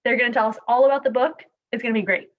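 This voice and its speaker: A woman with a fairly high voice introduces a children's story time as its host. She speaks straight to young viewers in a presenter's manner. The audio is slightly dull and lo-fi. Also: fairly even intonation, rapid-fire. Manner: addresses young viewers with questions.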